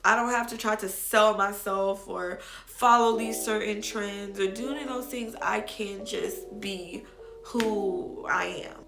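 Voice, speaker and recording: A woman's voice: mid-range voice, vocal fry. A young woman from Texas, a content creator, chatting candidly to camera in a casual vlog and calling her viewers y'all. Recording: echoey room with music playing.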